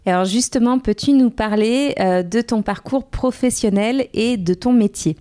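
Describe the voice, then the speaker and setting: A woman hosting a career podcast, who reads a scripted intro and then asks the guest questions in a clear, measured way. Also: fairly high pitch, mellow. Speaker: podcast host and interviewer.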